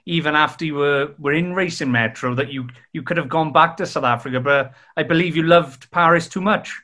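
Young man, podcast host, bright tense tone, chatty rambling questions, video call. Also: a little high, a little fast. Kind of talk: interview questions. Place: room at home, indoors.

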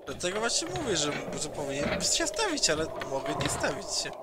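A man voicing a carefree, strong young male mutant who rambles and jokes, in a tabletop roleplay with loud background noise. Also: fairly high pitch, huge pitch swings, a little fast. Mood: cocky. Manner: joking, rambling.

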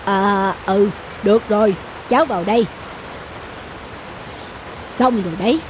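An actor playing a gruff, curt, middle-aged bicycle repairman in a cartoon. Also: high-pitched, measured pace, noisy background. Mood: relenting. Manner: curt, grumbling. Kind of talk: cartoon dialogue.

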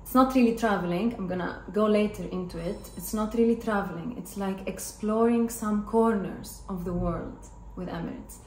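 A woman's voice: mid-range voice, normal speed, hardly any pauses, a little mellow. A woman in her twenties from Greece, a native Greek speaker with a Greek accent, telling a casual personal story to camera as a vlogger. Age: 20s. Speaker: vlogger and former flight attendant. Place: hard-floored living and dining room at home. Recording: roomy echo with clear background noise.

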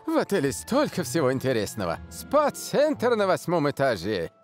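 A middle-aged cartoon sheikh, showy and scheming. The voice sits a little high and swings dramatically up and down with evasive grandeur, over faint music. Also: male voice, huge pitch swings, normal speed. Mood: slyly evasive. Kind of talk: animated cartoon dubbing.

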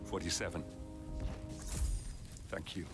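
Deep-voiced, vengeful revolutionary in a reverberant hall. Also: male voice, fairly even intonation. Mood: calm, resolved. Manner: measured, deliberate, menacing. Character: vengeful, brooding male revolutionary and assassin's ally. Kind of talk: dramatic video game voice acting. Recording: roomy hall reverb under loud music.

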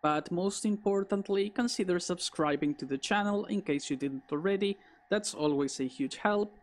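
A woman's voice: on the low side, moderate pace, a little mellow. A woman hosting a gaming video, giving commentary and reading dialogue aloud.

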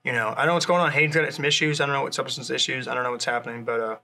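A man's voice: medium pitch, steady tone, rapid-fire, gravelly, sharp tone. A young man rambling hesitantly with lots of fillers as a drummer in a comedy sketch. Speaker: drummer in a mock band interview.

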